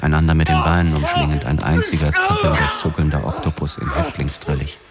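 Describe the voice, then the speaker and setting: An actor playing a haunted, hard-boiled 1940s police officer who narrates a crime radio drama. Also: male voice, rumbling low, huge pitch swings, a little fast, heavily acted. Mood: haunted, grim. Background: prominent music.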